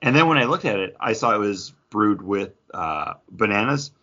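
A man with a fairly low but bright, forceful voice co-hosts a podcast. He talks casually, as if reviewing a drink among friends. The recording is slightly dull and lo-fi. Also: animated, normal speed. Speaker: podcast co-host. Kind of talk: casual chat, craft beer review. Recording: dull lo-fi audio.

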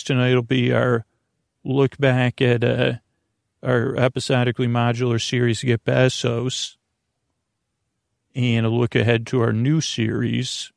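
A man hosting a sleep podcast in a rambling, halting bedtime monologue, with a creaky, gravelly voice. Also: medium pitch, darkish tone, no room sound, studio quality. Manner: meandering, halting, repeats and trails off. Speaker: podcast host.

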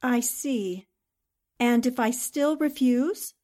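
A woman playing a defiant, sharp-witted young newspaperwoman held captive, speaking firmly and dramatically in old-time radio drama style. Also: on the high side, slow. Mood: indignant.

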